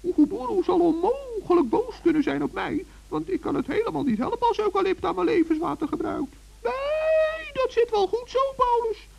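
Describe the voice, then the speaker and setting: A man playing a small, kind-hearted forest gnome in a children's radio play, hesitant and innocent in a creaky, squeaky voice. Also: expressive, normal speed, darkish tone, full character voice. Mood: relieved, musing. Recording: dull vintage radio recording.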